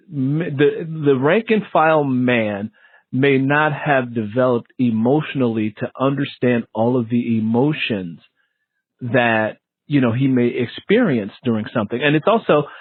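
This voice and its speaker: A man hosts a casual interview with a mid-pitched voice recorded very dry. He talks in an explanatory way, slipping into quoted lines to illustrate his points. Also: slightly rough, no echo, narrow, tinny audio. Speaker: interview host.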